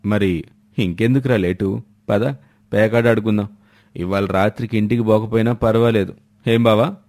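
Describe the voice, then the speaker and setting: A man's voice: fairly low pitch, fairly even intonation, moderate pace, darkish tone, close to an everyday voice. A man voicing an easygoing, scheming husband in a family storybook tale. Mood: sly, chummy. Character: sly card-loving husband, easygoing schemer.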